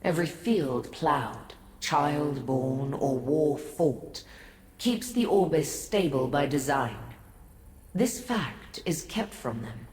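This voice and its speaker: An actress playing an ancient, aloof godlike being in a fantasy game, speaking formally and with haughty, cryptic condescension. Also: female voice, low-pitched, lively intonation, a little mellow. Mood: cryptic, solemn. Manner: measured, formal, condescending to mortals. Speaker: video game character. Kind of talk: scripted fantasy game dialogue. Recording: echoey, long reverb.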